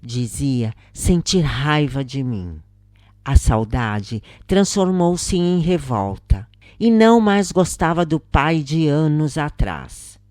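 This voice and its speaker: Brazilian female narrator for a solemn audiobook read, unhurried, mellow tone. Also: deep voice, expressive, no echo, studio quality. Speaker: narrator reading a spiritist account. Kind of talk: scripted first-person audiobook narration.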